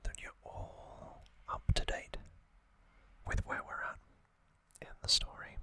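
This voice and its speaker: An ASMR host whispering trivia, softly repeating single words as triggers and reading from a box cover. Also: slow. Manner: reads aloud from a box cover.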